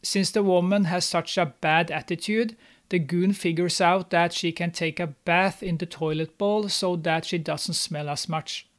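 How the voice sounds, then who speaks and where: A young man with a fairly high voice narrates a film recap, steady and scripted. His tone stays snarky and mocking as he pokes fun at the characters. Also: a little slow. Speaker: movie recap host. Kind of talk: sarcastic movie recap narration. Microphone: studio mic, on camera.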